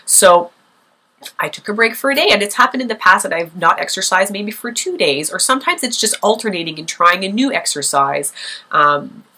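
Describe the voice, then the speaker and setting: A woman's voice: mid-range voice, expressive, normal speed, pushed and bright. A young woman vlogger with a cold, sniffling a little, talking casually and thoughtfully to camera with many fillers.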